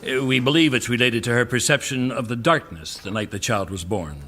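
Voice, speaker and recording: A man acting in an audio drama as a businesslike, assured chairman of a secretive project, speaking in a formal, measured way. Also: medium pitch, bright, forceful tone, close to an everyday voice. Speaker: meeting chairman.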